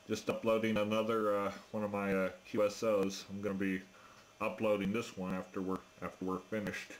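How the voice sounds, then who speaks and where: A man chatting casually over a radio link as an amateur operator, speaking in a hesitant, halting way. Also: on the low side, level tone, slight background noise. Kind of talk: casual ham radio chat.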